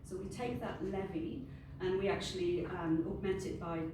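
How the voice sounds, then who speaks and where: A woman's voice: fairly low pitch, flat delivery, moderate pace. A middle-aged Welsh woman whose first language is Welsh, giving a friendly, unscripted talk to a group. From Wales. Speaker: industry panel speaker. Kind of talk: informal presentation to a group. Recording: very echoey room, distant mic, ambient noise.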